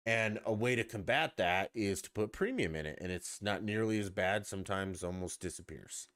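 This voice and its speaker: A man's voice: fairly low pitch, moderate pace, a bit bright. A man hosting a podcast on his own, rambling and ranting with plenty of swearing. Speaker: podcast host. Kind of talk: casual solo podcast chat.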